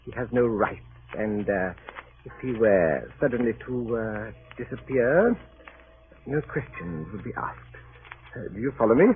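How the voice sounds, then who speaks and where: A man's voice: lowish voice, expressive, a little slow, narrow, tinny audio. A man playing a fussy, patronizing British consul with a hesitant, urbane drawl, in 1930s radio drama style on a scratchy old recording. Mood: slyly insinuating. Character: smug, fussy British consul, cynical and evasive diplomat.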